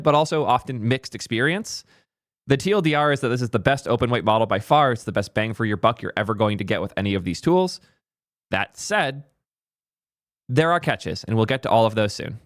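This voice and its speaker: A young man hosting a casual tech video, excitable, restarting lines and swearing now and then, his voice a little hoarse. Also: mid-range voice, no echo, studio quality. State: voice a bit hoarse, clearing throat. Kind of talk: casual tech commentary to camera.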